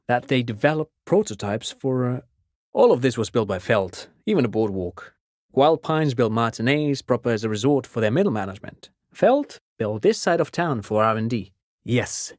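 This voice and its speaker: A man's voice: mid-range voice, no room sound, clean studio sound, lightly acted. A male actor playing a polite, enthusiastic, pedantic intellectual in a video game, explaining things in an erudite, courteous way. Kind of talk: video game dialogue acting.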